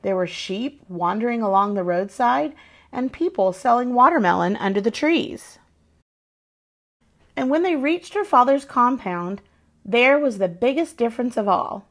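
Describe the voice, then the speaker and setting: A woman reading a children's picture book aloud as an expressive narrator. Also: on the high side, unhurried.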